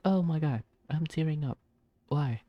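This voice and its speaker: A man's voice: a little high, a little slow, no echo, muffled recording. A man doing casual, gushing playthrough commentary, often repeating himself as he reacts to the story. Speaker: gameplay video creator.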